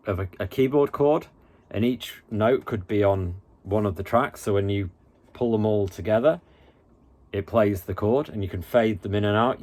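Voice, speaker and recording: A man presents a relaxed, informal tutorial in a fairly low voice. He talks colloquially and haltingly, feeling out his explanation as he demonstrates. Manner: laid-back, halting, colloquial. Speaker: tutorial host.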